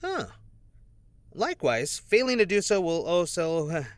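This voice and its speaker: An actor playing an anxious young undercover agent, a former street punk, in an audio drama with an edgy delivery. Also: male voice, high voice, huge pitch swings, measured pace, dry recording, full character voice. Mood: reading aloud, wary.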